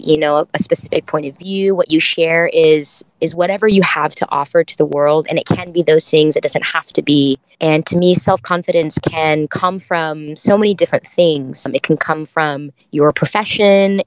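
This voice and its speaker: A woman's voice: sits low, smooth, no echo, muffled recording. A young adult woman from the San Francisco Bay Area, a dancer and choreographer, answering interview questions in a thoughtful, reflective way with many restarts. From San Francisco Bay Area, California. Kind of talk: podcast interview.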